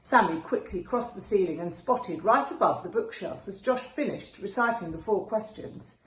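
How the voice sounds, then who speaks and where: A woman reading a children's storybook aloud as an expressive narrator. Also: mid-range voice, normal speed. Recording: roomy echo with faint background noise.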